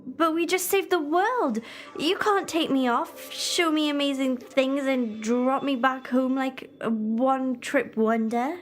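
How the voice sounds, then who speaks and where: A woman's voice: sits high, a little slow, soft background music. A young woman playing a plucky, outspoken time-traveller's companion in an audio drama, candid and questioning. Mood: indignant.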